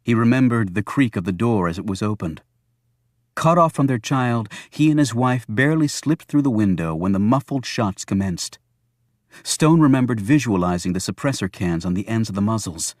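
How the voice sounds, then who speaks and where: Male narrator, lower register, scripted thriller audiobook, very dry sound. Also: animated, moderate pace, no echo.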